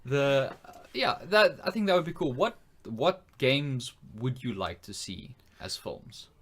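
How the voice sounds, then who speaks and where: A man's voice: mid-range voice, big pitch swings, a little slow. Man casually steering a podcast chat. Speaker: podcast co-host. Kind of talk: casual chat, posing questions to co-hosts.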